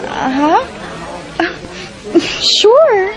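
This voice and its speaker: Young Bisaya working woman, very high voice, expressive radio-drama heroine. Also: very slow, over a noisy crowd, heavily acted, poor audio. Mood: cheerful, friendly. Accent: Bisaya Filipino. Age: young adult. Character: young restaurant cashier wife, friendly but increasingly exasperated.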